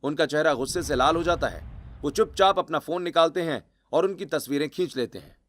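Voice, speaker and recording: A male storyteller with a fairly high-pitched voice. He delivers scripted, dramatic narration for a cartoon tale. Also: fairly quick, fairly bright tone.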